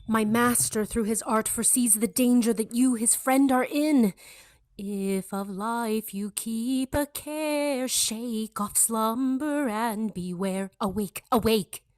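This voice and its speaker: A woman's voice: a little high, slow pace, darkish tone, no echo. A woman playing an ethereal, watchful servant spirit, chanting rhyming verse in a Shakespearean radio play. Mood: urgent warning.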